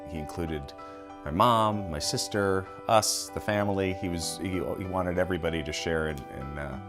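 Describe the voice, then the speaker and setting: Really low voiced guy reminiscing fondly, casual, soft guitar playing behind him. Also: moderate pace. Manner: casual, affectionate. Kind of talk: reminiscing in a tribute video. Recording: guitar music underneath. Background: plucked guitar music.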